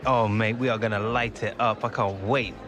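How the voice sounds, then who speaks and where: Actor as young footballer, music behind. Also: male voice, fairly low pitch, fairly even intonation, moderate pace, natural voice. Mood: upbeat. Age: young adult. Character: injured young male footballer, stubborn, eager. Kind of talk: video game cutscene acting. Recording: game dialogue over music.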